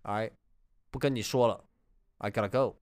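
Relaxed man, mid-pitched, hesitant speech. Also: moderate pace. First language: a Chinese dialect other than Mandarin. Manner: relaxed, hesitant English with fillers, chuckles often. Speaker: co-host of a language lesson. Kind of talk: casual bilingual lesson chat.

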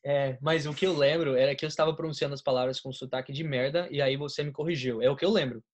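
A man's voice: mid-range voice, fairly even intonation, quick pace. A young man from Colombia, a native Spanish speaker, casually chatting about his memories. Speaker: guest. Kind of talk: casual chat, recalling memories.

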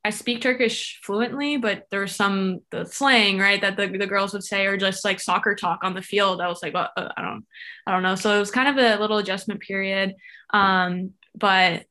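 A woman's voice: medium pitch, fairly even intonation, normal speed, sharp tone. Young woman, chatty, easy laugh, casual guest storytelling, lots of fillers. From Florida, USA. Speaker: guest, former soccer player. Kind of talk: casual interview chat.

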